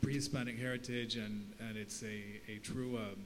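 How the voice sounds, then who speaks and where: A man's voice: medium pitch, steady tone, normal speed, a bit bright. Man from Guatemala asking from the floor. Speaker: audience member asking a question. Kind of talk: spontaneous question from the floor. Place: conference audience, handed microphone. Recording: faint hum and background noise.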